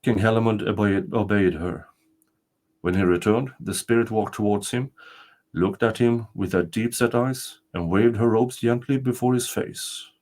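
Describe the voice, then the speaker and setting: A man's voice: on the low side, moderate pace, darkish tone. An older man narrating a folktale as a storyteller, reading from a written text. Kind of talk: scripted folktale narration, read aloud.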